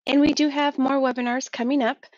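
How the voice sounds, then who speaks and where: A woman's voice: sits high, flat delivery, fairly quick, no room sound. A woman acting as webinar moderator, giving housekeeping notes and announcements to the attendees. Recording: slightly dull, lo-fi webinar audio.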